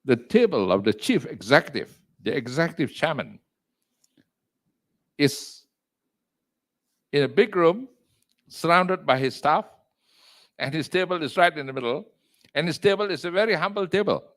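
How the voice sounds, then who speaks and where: An older man with a Malaysian accent talking as an interview guest, loud and emphatic, dropping in Malay words and leaping to high exclamations. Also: lively intonation, normal speed, long pauses. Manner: mixes in Malay words and phrases. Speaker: entrepreneur and executive chairman, interview guest. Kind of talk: interview over video call.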